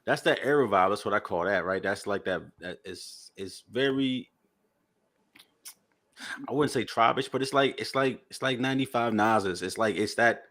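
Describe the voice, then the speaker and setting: Low-voiced rambling male co-host. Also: moderate pace, fairly bright tone. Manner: loose, repeats phrases while searching for words. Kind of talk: casual talk show chat.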